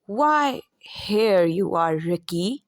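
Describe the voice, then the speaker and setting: A woman's voice: mid-range voice, lively intonation, extremely slow, dry recording, clean studio sound. A woman voicing a kindly, welcoming lady who runs a children's home, in a storybook. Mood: surprised, welcoming.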